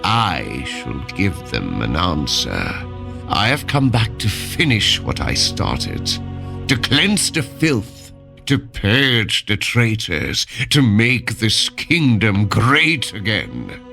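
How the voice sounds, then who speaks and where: A slow, deep undead tyrant king. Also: wildly swooping pitch, gravelly, exaggerated voice. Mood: grandiose menace. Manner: slow, brooding, grandiose pronouncements. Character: vengeful tyrant king returned from death, cold and menacing. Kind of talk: dark fantasy audio drama acting. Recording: music under the voice. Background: dramatic music.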